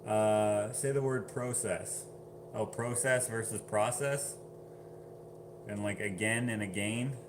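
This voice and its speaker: Chatty man reading chat, lowish voice. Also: fairly even intonation, slow pace, background noise. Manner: chatty, laughs often, reads comments aloud. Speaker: livestream host. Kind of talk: casual livestream chat reading viewer comments.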